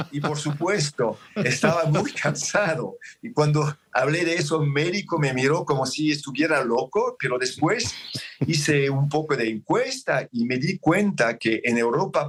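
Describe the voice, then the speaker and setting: A man's voice: on the high side, fairly bright tone, a little hiss or hum. A man whose first language is French, speaking Spanish with a French accent, explaining his book at length as a guest on a remote link. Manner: explanatory, measured, with self-corrections. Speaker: author guest. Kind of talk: interview over a remote link.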